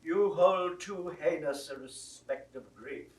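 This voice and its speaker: An actor playing a shrewd, worldly cardinal in a classical Shakespearean audio drama, speaking in a measured, oratorical way. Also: male voice, fairly high pitch, slightly rough. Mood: reproving. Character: shrewd, worldly churchman, a cardinal coolly counselling.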